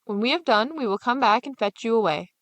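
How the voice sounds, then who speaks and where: A woman voicing a hard, selfish stepmother from a fairy tale, bossy, sharp-tongued and scornful. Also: on the high side, animated, fairly quick, no room sound, lightly acted.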